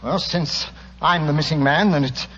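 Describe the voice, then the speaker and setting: An actor playing a proud, defensive gentleman with formal speech in a 1940s radio drama. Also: on the high side, moderate pace. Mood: defiant. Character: proud, exposed gentleman who posed as a beggar. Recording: muffled, noisy vintage radio recording.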